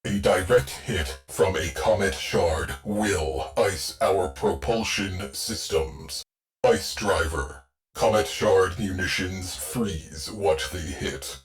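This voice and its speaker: A man playing a terse, stoic military ship AI in a video game, giving mission briefings in a clipped, deadpan, commanding way. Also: sits low, slow pace, faint music behind. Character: terse, stoic ship AI, male military tactician with dry wit.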